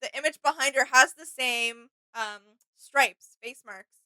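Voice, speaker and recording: High, bright-voiced woman chatting as a fan. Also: measured pace, no echo, rough recording. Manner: enthusiastic, agreeable. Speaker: reaction video co-host. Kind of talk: casual fan reaction commentary.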